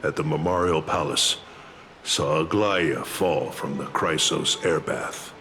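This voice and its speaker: A man voicing a grave, dutiful lord of the city guard in a video game, formal and measured, with music behind. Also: rumbling low, noisy background. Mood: grave, regretful.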